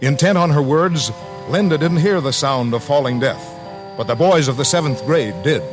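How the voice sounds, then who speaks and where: Male narrator, American, slightly high-set voice, grave and measured, vintage radio documentary with clear music bed. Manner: solemn, deliberate, measured phrasing. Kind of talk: scripted dramatic 1950s radio documentary narration. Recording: vintage 1950s radio broadcast, music underneath. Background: dramatic music.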